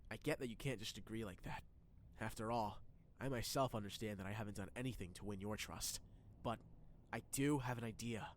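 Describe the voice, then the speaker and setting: A man playing a brash, self-deprecating young man in an animated, expressive anime dub style. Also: fairly low pitch, a little fast, slight background noise, natural voice. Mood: confident.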